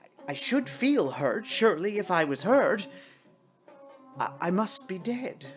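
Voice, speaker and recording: Woman putting on a fussy, high-pitched stammering gentleman. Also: sing-song, unhurried, soft background music. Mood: puzzled, reasoning. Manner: stammering, flustered, prone to exclaim. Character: fussy, bewildered, stammering gentleman, newly a ghost. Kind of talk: theatrical storybook character voice.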